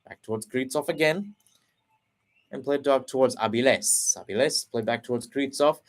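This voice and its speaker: A young man calling live soccer play-by-play in a brisk running commentary, often correcting himself mid-phrase. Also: mid-range voice. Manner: brisk running call, frequent self-corrections.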